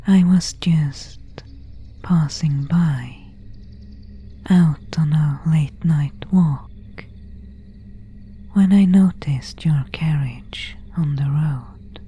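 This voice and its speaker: A woman voicing an elegant vampire countess in a whispered ASMR roleplay, courteous and quietly predatory, with formal, old-fashioned diction. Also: deep voice, very slow, pauses often, exaggerated voice. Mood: gently solicitous. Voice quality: breathy whisper.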